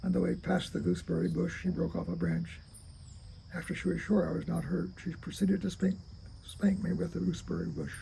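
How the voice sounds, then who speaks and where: Old guy in his 80s reading his memoir, super gravelly, kinda high pitched for a man, halting. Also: little pitch movement, dark tone, slight background noise, rough recording. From rural Kentucky, United States. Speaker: storyteller reading own memoir. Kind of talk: reading a childhood memoir aloud.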